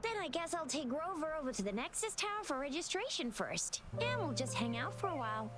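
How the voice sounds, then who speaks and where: A woman's voice: sits high, very animated, brisk. A woman voicing a cheerful, brash young patroller who wants to be a hero in a video game, peppy and eager. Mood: breezy, eager. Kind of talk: video game character acting. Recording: game music behind the voice.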